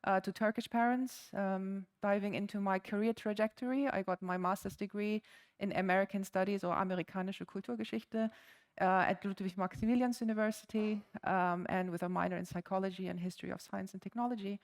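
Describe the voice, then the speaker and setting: A woman in her forties with a German accent presents at a conference to a live audience. She talks in a friendly, chatty way and pads her sentences with ums and uhs. Her voice is smooth and clear, at a middling pitch. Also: fairly even intonation, fairly quick. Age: 40s. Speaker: conference speaker.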